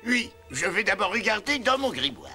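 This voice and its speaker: A man's voice: high-pitched, big pitch swings, moderate pace, music in the background. A man voicing a fussy, theatrical old bearded wizard in a cartoon dub. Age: older. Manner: theatrical, pompous.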